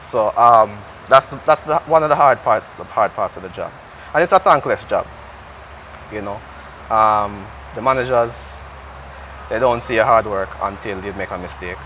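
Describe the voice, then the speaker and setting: A man's voice: lowish voice, moderate pace, sharp tone, a little hiss or hum, poor audio. A Jamaican man speaking as an interview guest in casual, conversational talk, often restarting his sentences. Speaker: interview guest, air traffic controller.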